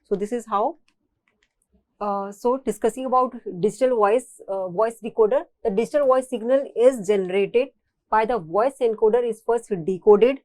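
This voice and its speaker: A woman's voice: a little high, normal speed, a little mellow, no echo. Indian-accented woman lecturing, dry close sound. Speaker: engineering lecturer. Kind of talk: technical lecture explaining a diagram.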